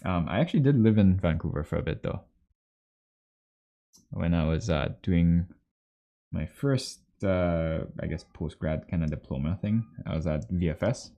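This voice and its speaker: A man's voice: low-pitched, lively intonation, normal speed. A man in his thirties from Trinidad and Tobago, chatting casually and easily on a livestream while he works, in slightly muffled audio. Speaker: livestream host, 3D artist. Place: small indoor home workspace.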